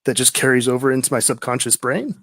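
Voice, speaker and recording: A man appearing as a guest author, answering interview questions casually and thoughtfully, with many pauses and repeated words. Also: medium pitch, a bit bright, no room sound, studio quality.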